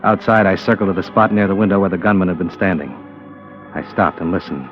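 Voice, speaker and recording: An actor playing a tough, principled American investigator in a vintage radio drama, speaking in a terse, firm, wry manner. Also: male voice, low voice, quick pace, close to an everyday voice. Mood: dry narration. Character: tough, principled male insurance investigator, hard-boiled and resolute. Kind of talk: 1940s-50s radio drama acting and narration. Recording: dull old radio recording, music behind.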